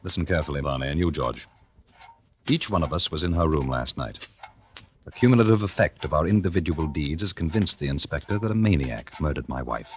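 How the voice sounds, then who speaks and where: A coolly murderous husband in a 1940s radio thriller speaks very low. He is measured and sardonic, needling with perfect control, on a faintly noisy old recording. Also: expressive. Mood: coolly menacing.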